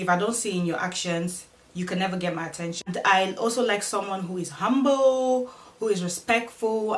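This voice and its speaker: A woman's voice: mid-range voice, a bit bright, roomy sound, recorded from a distance. A woman in her twenties from Cameroon, speaking English with a Cameroonian accent, casually reading viewers' questions aloud and answering them in a vlog. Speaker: vlogger.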